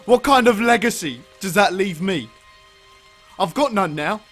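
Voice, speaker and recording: A man's voice: high voice, animated, a little slow, fairly bright tone, faint music behind, heavily acted. An actor playing a grieving middle-aged father turned bitter and vengeful. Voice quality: bitter, despairing. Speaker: villain, Killian.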